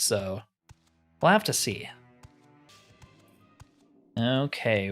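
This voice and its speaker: A man's voice: mid-range voice, fairly bright tone. Man casually narrating his game over music. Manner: informal, thinking aloud. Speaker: gamer, video game roleplayer. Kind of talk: casual let's play commentary.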